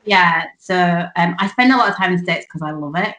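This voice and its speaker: Young woman, Hull accent, low-ish voice, loose chatty guest energy, roomy echo. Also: lively intonation, fairly quick, crowd noise. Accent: Hull, northern English. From England. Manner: chatty, many ums and restarts. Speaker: podcast guest, online fitness business owner. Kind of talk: casual chat.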